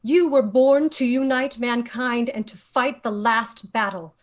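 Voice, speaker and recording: A woman acting a stern female leader of a mystic order. Her voice is fairly high, measured and full of authority, in a fantasy script read aloud. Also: natural voice. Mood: solemn. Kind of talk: dramatic screenplay table read.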